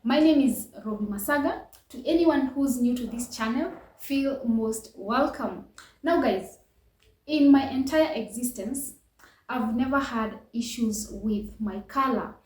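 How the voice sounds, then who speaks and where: A woman's voice: a little high, a little slow, darkish tone, echoey room, poor audio. A woman hosting a reaction channel, talking earnestly and reflectively with many fillers and restarts. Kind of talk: casual reaction commentary.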